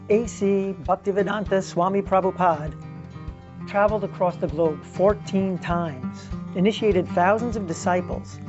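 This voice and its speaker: A woman giving measured, scripted documentary narration. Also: fairly low pitch. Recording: lo-fi with music bed.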